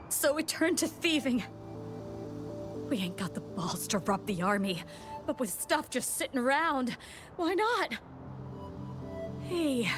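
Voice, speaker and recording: High-voiced, pushy thief woman. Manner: slangy, pushy. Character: brazen, needy female exile turned thief. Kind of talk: video game voice acting.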